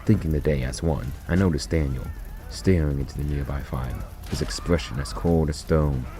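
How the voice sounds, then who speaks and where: A man reading a first-person short story aloud in a deliberate, grave, theatrical way, on a noisy recording. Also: extremely low pitch. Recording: noisy recording with constant background noise.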